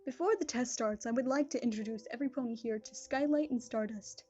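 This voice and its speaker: A woman voicing a gentle, regal pony princess and teacher in an animated fan audio drama, composed and motherly. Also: on the high side, a little fast, lo-fi sound, close to an everyday voice. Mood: composed, formal.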